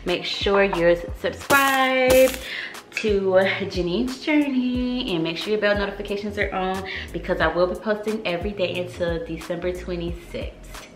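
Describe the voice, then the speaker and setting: A woman's voice: mid-range voice, a little slow, over music. A young American woman vlogging about her cooking in an informal, conversational way. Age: young adult. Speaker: vlogger, host. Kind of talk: casual cooking vlog talk. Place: home kitchen, indoors.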